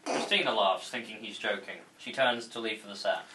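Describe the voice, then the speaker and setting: A man narrates stage directions at a comedy table read. His voice is very bright and mid-pitched, with faint noise behind it. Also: brisk, low-quality recording.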